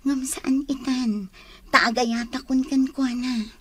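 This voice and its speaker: A woman's voice: high-pitched, fairly even intonation, slow, fairly bright tone, slightly rough, no echo, full character voice. A woman with a Filipino accent acting a devoted young woman wounded in love in a radio drama. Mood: hurt, confiding.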